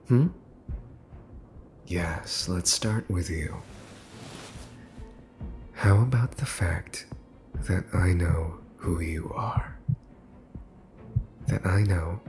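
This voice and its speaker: A man playing a smug, theatrical mafia boss's son in an ASMR roleplay, with a teasing drawl full of pauses. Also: sits low, a little mellow. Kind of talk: ASMR boyfriend roleplay acting.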